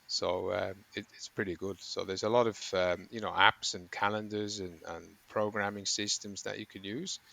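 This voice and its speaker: A man's voice: on the low side, steady tone, normal speed, gravelly, few pauses, bright, forceful tone. A middle-aged man from Switzerland, a running coach, talking in an interview with rambling, self-correcting explanations. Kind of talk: conversational interview.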